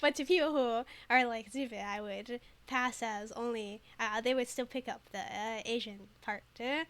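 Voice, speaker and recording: A woman with a high voice streams a game in a casual, rambling way. Her accent is American with a very faint foreign tinge from native Mandarin, and her delivery is hesitant and giggly. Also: normal speed, fairly bright tone, smooth. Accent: American with a very slight foreign tinge. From United States, moved there very young. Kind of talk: casual live game commentary.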